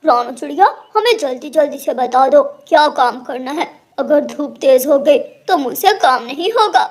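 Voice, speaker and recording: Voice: sits high, animated, normal speed. An actor voicing a lazy, grumbling male crow husband in a cartoon. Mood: complaining.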